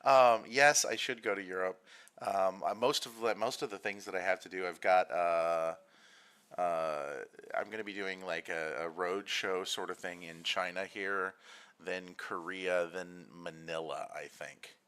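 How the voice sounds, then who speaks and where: American guy hosting a chat stream, super low voice but bright and forceful, rambling and jokey. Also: fairly even intonation, measured pace, clear, resonant tone. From United States. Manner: rambling, self-deprecating, joking. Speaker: host. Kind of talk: casual livestream chat.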